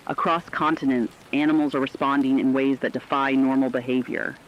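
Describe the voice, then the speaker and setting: Radio drama co-anchor, played by a man: mid-pitched, serious, deliberate emergency warnings, dull lo-fi sound. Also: fairly even intonation, a little hiss or hum, lightly acted. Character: earnest male radio co-anchor warning of werewolf catastrophe. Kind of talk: scripted dramatic news narration.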